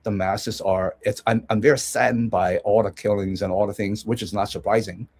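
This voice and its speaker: A man's voice: on the low side, steady tone, moderate pace, a little mellow, slightly rough. A man from Hong Kong, a guest analyst giving rambling conversational commentary with many restarts and check-ins.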